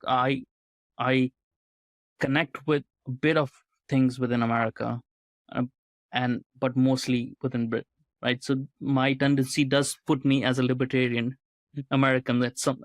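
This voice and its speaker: A man's voice: medium pitch, vocal fry, no echo. Earnest man tagging points with "right?". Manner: earnest, often ends points with a questioning "right?". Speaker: podcast guest, writer and commentator. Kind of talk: conversational podcast interview.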